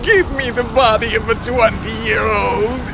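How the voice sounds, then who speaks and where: A man's voice: high-pitched, steady tone, measured pace, vocal fry, background noise, voice pushed up. A man voicing a worn, hundred-year-old captain pleading to be young again in a game cutscene. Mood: pleading, desperate. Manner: pleading, begging. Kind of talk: quoted character in game cutscene narration. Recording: music behind the voice.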